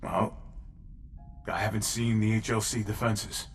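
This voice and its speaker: An actor playing a big, stoic, world-weary fighter in a video game cutscene, dry and terse. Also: male voice, fairly low pitch, steady tone, a little slow, faint music behind. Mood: weary, grave.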